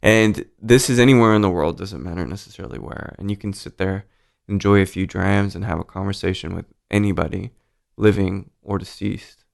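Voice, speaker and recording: A man with a very low, gravelly voice hosts a relaxed podcast chat. He talks loosely and swears now and then. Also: brisk. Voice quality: deep voice. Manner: laid-back, swears casually. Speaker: podcast host. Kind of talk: casual interview chat.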